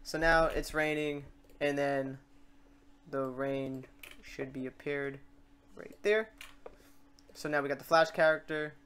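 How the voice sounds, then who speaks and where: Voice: medium pitch, steady tone, takes it slowly, pauses often, a bit bright, slight background noise. A tutorial host narrating a screen recording in a casual, rambling way, thinking aloud while working through the steps.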